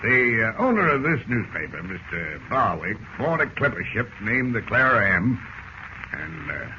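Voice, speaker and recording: Pushy menacing old sea dog, hard sharp voice, muffled old radio show. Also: male voice, lowish voice, very animated, moderate pace, a little hiss or hum, heavily acted. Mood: brash, insistent. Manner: salty seafaring talk, menacing insistence. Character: brash, threatening peg-legged old sailor. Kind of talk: 1940s radio drama acting.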